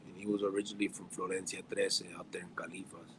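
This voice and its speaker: A man, chatting casually on a podcast, halting with fillers and restarts and mixing in Spanish slang. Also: low voice, level tone, noisy background. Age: 40s. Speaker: podcast guest.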